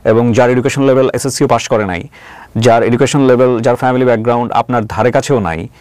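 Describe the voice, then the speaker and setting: A man's voice: mid-range voice, steady tone, quick pace, darkish tone, no echo. A man with a Bangladeshi accent hosting an interview, pressing his guest with probing, challenging questions and mixing in English words. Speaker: talk show host and interviewer.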